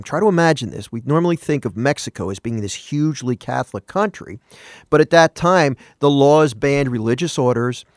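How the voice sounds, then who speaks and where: A man in a middle register talks earnestly into a dry, close studio mic, with almost no room sound. He reflects on faith in a relaxed, conversational way, stopping to correct himself and turning to ask the listener questions. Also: medium pitch, no echo. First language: not Spanish. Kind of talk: morning radio reflection.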